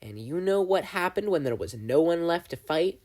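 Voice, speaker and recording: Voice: mid-range voice, lively intonation, normal speed, a little mellow, voice pushed up, lightly acted. A reader voicing a gleeful, menacing male triangle dream demon who taunts and mocks, in a fan fiction audiobook. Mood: taunting. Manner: taunting, theatrical, mocking.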